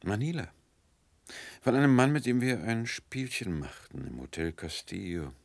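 A menacing gentleman drifter in a radio drama. The voice is very deep and gravelly, recorded dry. He speaks in clipped, languid, insinuating phrases. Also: expressive, normal speed, no room sound. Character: cool, menacing gentleman drifter, male hotel guest. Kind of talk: German radio drama acting.

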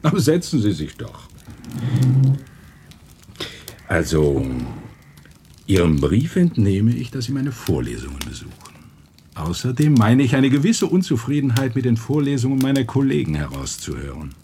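An actor playing a kindly, authoritative older professor in a radio drama, deliberate and fatherly. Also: medium pitch, big pitch swings, mellow, a little hiss or hum. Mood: welcoming, inquiring.

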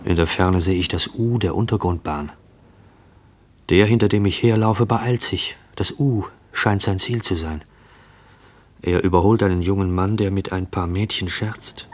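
Fearful shadower for radio drama: deep, rough-edged, tense and searching inner monologue. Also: male voice. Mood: urgent, watchful. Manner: tense, hushed, questioning, deliberate. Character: fearful man shadowing a mysterious stranger.

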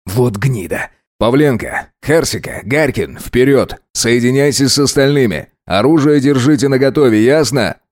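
A man's voice: medium pitch, moderate pace, hardly any pauses, darkish tone, no room sound, studio quality, close to an everyday voice. A man voicing a callous, irritable lieutenant colonel who hisses and barks his words in a military horror story. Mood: angry. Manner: barking orders, hissing, irritable. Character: harsh, callous, commanding male army lieutenant colonel.

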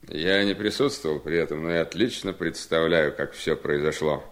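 An actor playing a bitter, resolute husband in a Soviet seventies radio drama, speaking in a deliberate, ironic, emphatic way. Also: male voice, extremely low pitch. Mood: sardonic, accusing. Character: bitter, resolute husband coolly punishing his scheming wife.

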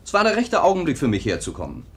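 A man's voice: fairly high pitch, huge pitch swings, fast, fairly bright tone. An actor playing a restless, resentful young man in a 1950s German radio drama, brusque and emotive. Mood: defensive. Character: restless, resentful grown son, quick to flare up.

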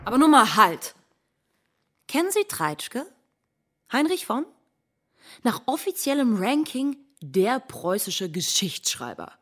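An actress playing a fiery, mocking feminist in a radio drama, speaking in emphatic, sarcastic polemic. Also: female voice, a little high, sing-song, slow pace, pauses often, exaggerated voice. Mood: indignant, emphatic. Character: fiery, mocking feminist woman challenging patriarchy.

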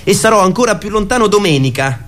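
An actor playing an excited, earnest boy who dreams of flight, in a radio theatre piece. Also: high voice, fast. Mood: earnest, insistent.

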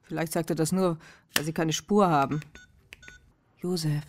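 A woman's voice: low voice, fairly even intonation, moderate pace, slight background noise, natural voice. An actress playing a sceptical, dry female partner in a radio crime drama.

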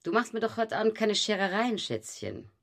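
A woman's voice: mid-range voice, very animated, fairly quick, fairly bright tone. An actress in a radio drama playing a cocky, bossy female wrestler who talks in a teasing, patronising way.

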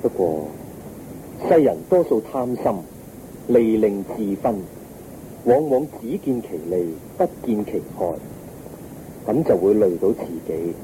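An actor playing a serene, wise Buddha in a radio drama, teaching in a measured, solemn way with a Hong Kong Cantonese accent. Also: male voice, lowish voice, animated, dark tone. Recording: muffled radio recording, faint noise.

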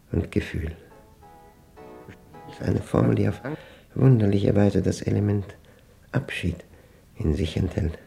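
Low, gravelly pedantic professor. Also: male voice, warm tone. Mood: musing. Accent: standard German. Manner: pedantic, reflective, halting, self-questioning. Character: lonely, orderly male mathematics professor recording a confession. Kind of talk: German radio play monologue.

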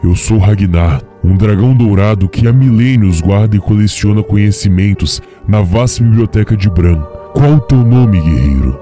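A man's voice: lowish voice, expressive, moderate pace, hardly any pauses, dark tone, faint music behind, lightly acted. A man playing an ancient, grandfatherly golden dragon librarian in a fantasy audio drama, speaking formally and with authority. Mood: solemn, grateful. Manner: formal, solemn phrasing.

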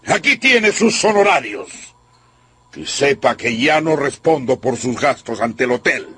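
A stern general, speaks slowly with emphatic swings of pitch. His manner is authoritative and reproving, in theatrical radio drama style. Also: sing-song, fairly bright tone, breathy edge, heavily acted. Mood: stern reproof. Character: stern, lecturing general, head of family. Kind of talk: Spanish-language radio drama acting.

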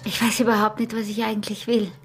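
An actress playing a scheming, seductive peasant wife in a radio drama, with a husky, breathy voice and an Austrian rural accent. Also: female voice, medium pitch, flat delivery, quick pace, faint music behind, natural voice. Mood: feigned meekness, coaxing. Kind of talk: intense radio drama acting in rural dialect.

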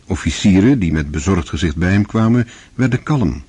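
A man's voice: low voice, animated, moderate pace, mellow, breathy edge, dry recording. A man narrating a radio drama in a scripted, literary style.

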